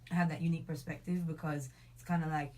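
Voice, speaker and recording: A Jamaican woman with a notably low voice talks casually as a guest. She sounds relaxed, laughs easily and fills her talk with agreeing yeahs. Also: fast talker. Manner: relaxed, laughs often, says yeah a lot. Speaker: guest, party organizer. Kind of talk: casual conversation.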